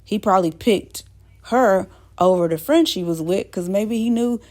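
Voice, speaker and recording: A woman's voice: medium pitch, normal speed, darkish tone. A woman host talking casually to her viewers, rambling with many fillers and restarts. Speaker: channel host. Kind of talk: casual talk to camera.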